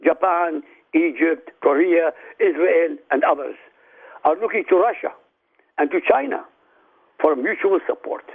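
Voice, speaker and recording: A man calls in over a tinny phone line, his raised voice gravelly and husky. He lays out his case in an emphatic, declaiming manner, pushing key words up in pitch. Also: measured pace. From Iraq. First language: Arabic. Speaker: radio guest commentator. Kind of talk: prepared political commentary.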